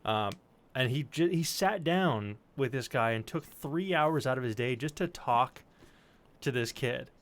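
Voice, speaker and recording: A man's voice: mid-range voice, expressive, unhurried, fairly bright tone. A man in casual chat, telling anecdotes and acting out what people said, with easy informal swearing.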